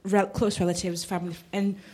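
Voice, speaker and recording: A woman from Kenya speaks up from the audience at a public event. She is earnest and halting, often restarting and rephrasing her thought, in a mid-pitched voice. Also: level tone, warm tone. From Kenya, Nairobi. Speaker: audience member, conflict studies student. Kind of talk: audience comment at a public panel.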